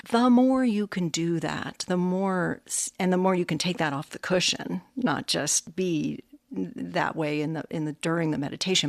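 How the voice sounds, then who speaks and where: A woman with a low, gravelly voice talks reflectively as a podcast co-host. She searches for words and fills her thoughts with "like" and "you know". Her voice sounds dry and close. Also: no room sound. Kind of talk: casual reflective conversation.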